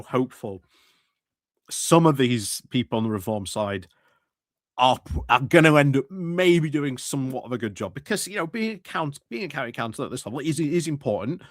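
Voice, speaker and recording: A man's voice: medium pitch, animated, fairly quick, no room sound. Hesitant man commenting, dry close mic. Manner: hesitant, many fillers and restarts. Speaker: political commentary streamer. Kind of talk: casual commentary over meeting clips.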